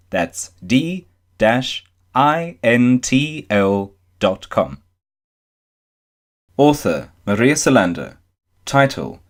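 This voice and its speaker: Male news reader, lower register, scripted narration, measured formal delivery. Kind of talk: scripted narration, article read aloud.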